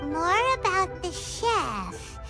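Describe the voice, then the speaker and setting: A tiny, excitable fairy girl from a video game, with an extremely high, squeaky voice. She swoops wildly in pitch and stretches out her words like an eager child. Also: drawn-out words, over loud music, exaggerated voice. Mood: eager, pondering. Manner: exclaiming, refers to self in third person. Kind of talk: animated video game voice acting.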